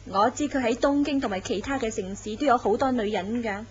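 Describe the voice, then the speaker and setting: A sly, knowing mistress, voiced by a woman in an old-style horror radio play, teasing her companion with soft laughs. Her voice sits fairly high. The recording is dull and lo-fi. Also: brisk, slight background noise, natural voice. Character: scheming young mistress of a jealous tycoon. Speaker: actress in a horror radio drama. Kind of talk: radio drama acting.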